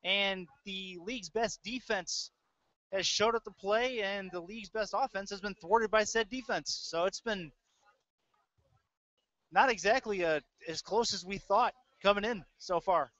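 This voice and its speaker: A man's voice: sits high, measured pace, a bit bright, dry recording. An American man working as a play-by-play announcer, giving live commentary on a football game. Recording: dull, lo-fi webcast audio.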